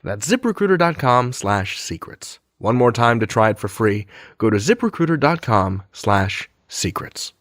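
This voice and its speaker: A male announcer with a medium-pitched, very animated voice. He reads scripted ads in a polished, persuasive way. The sound is dry and close-miked. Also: moderate pace, hardly any pauses, no echo. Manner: polished, persuasive sales delivery. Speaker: podcast ad announcer. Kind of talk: scripted advertisement narration.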